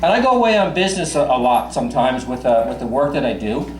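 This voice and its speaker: A man's voice: mid-range voice, big pitch swings, quick pace. A man in his fifties from Newfoundland with a faint Newfoundland accent, doing crude, chatty stand-up in a bar and swearing freely. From Newfoundland, Canada. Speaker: stand-up comedian. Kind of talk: stand-up comedy. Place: bar stage. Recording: echoey bar with crowd.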